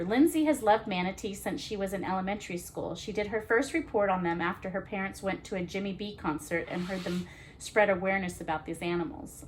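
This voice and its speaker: Female children's storytime reader: medium pitch, expressive narration with casual asides, slight ambient noise. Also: rough recording.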